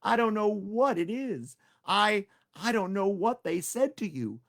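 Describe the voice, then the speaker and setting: A man voicing a boastful little male animal who thinks he is a cowboy, bragging in a slow drawl. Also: sits high, very animated, creaky, fairly bright tone, pitched above the performer's own voice, exaggerated voice. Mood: sweet-talking. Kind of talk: storytelling for children.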